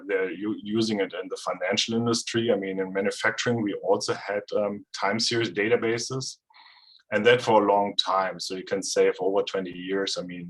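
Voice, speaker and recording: Low-voiced German-accented man presenting. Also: low-quality recording. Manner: relaxed, explanatory, with frequent fillers. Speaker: guest presenter. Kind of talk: casual technical presentation. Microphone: video call.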